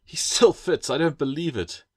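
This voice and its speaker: A man's voice: fairly high pitch, expressive, moderate pace, voice pushed up. A man playing a bookish, cautious boy in a storybook, sarcastic and easily indignant. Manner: mocking, indignant.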